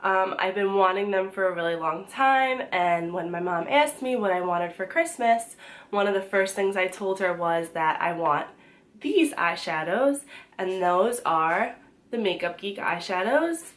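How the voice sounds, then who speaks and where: A young woman talks through her favorite beauty products in a relaxed, chatty way, her voice a little hoarse from being sick. She pauses often on "um" and restarts her sentences as she goes. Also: medium pitch. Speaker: beauty vlogger. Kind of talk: casual product review to camera.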